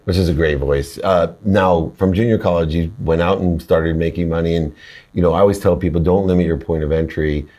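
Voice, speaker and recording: A middle-aged man hosts an interview at a conference table, his voice sitting low. He asks his questions eagerly and laughs often. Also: fairly even intonation, brisk, mellow. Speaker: host, interviewer. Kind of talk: interview conversation. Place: office conference room.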